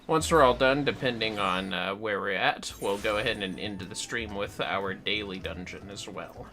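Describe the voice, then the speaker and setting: A man's voice: on the low side, normal speed, sharp tone, soft background music. A man hosting a gaming livestream with casual commentary, rambling a little as he plans aloud. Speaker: livestream host.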